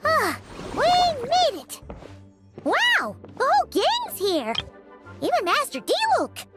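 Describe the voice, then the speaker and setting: Squeaky, very high childlike fairy girl. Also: wildly swooping pitch, takes it slowly, over loud music, exaggerated voice. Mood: excited, delighted. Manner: refers to self in third person, exclaiming. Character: tiny chirpy fairy companion, childlike girl, excitable and frank. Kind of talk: anime video game voice acting.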